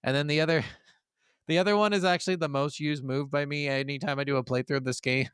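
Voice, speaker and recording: A man's voice: on the high side, steady tone, fairly quick, fairly bright tone, smooth, no room sound, clean studio sound. A man chatting casually about video games as a podcast host, swearing and teasing freely.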